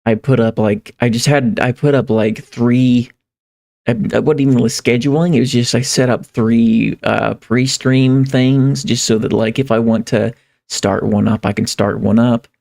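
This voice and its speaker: A man livestreaming in a casual, rambling way, halting and repeating phrases as he thinks aloud. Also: mid-range voice, fairly even intonation, vocal fry, a little mellow. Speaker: live streamer. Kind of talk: casual livestream chat, reading viewer comments.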